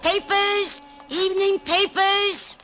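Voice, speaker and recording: A woman's voice: very high voice, fairly even intonation, slow, music in the background. An actress playing a sly, feisty old woman news vendor with a folksy, street-wise manner in an old-time radio crime drama. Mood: hawking. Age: older. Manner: folksy, street-wise, cries out her papers.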